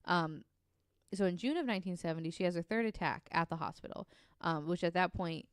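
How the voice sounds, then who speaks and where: A woman's voice: lowish voice, fairly quick, clean tone, no echo. Woman on a podcast rambling thru a story, lots of ums, dry close sound. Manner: chatty, many ums and likes, laughs easily. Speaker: podcast co-host telling a true story. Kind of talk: casual storytelling chat.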